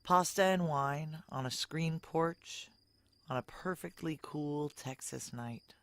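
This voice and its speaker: A woman's voice: low-pitched, takes it slowly, no room sound. An actress playing a wry, guarded, jealous woman on the run, in dry, clipped noir audio drama dialogue and inner monologue. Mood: wry, introspective.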